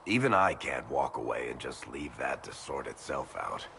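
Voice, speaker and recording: An actor playing a roguish, wry storyteller in a fantasy game, casual and sardonic with a gravelly voice. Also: male voice, very deep voice, expressive, moderate pace, fairly bright tone, a little hiss or hum, heavily acted. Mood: grim, sardonic. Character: roguish, wry male storyteller adventurer, good-hearted. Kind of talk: fantasy video game voice acting.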